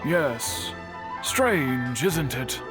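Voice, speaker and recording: A solemn, dignified bladesmith over music. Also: male voice, mid-range voice, animated. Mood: troubled, resigned. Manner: measured, formal, solemn phrasing. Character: earnest, dignified male master bladesmith, worried uncle. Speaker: swordsmith character in a video game. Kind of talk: scripted video game voice acting. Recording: music behind the voice.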